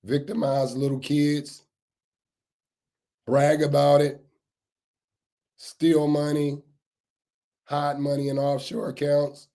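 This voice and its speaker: A man hosting a casual live stream, his voice a bit raspy as he gets over a cold, greeting chat viewers by name and repeating points for emphasis. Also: fairly high pitch, completely flat delivery, slow, pauses often, dark tone. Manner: repetitive, emphatic phrasing. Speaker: livestream host on security news.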